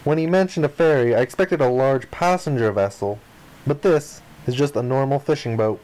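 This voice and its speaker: A man's voice: medium pitch, moderate pace, low-quality recording. Man reading visual novel text aloud expressively. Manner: expressive, acts out the protagonist's lines. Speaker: playthrough host reading aloud. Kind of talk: dramatic read-aloud of visual novel narration and dialogue.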